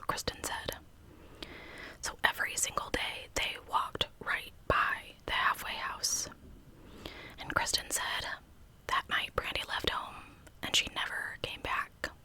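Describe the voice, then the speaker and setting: A woman's voice: bright, forceful tone, poor audio. A woman whispering a true crime story in ASMR style as a channel host, with conversational asides to listeners.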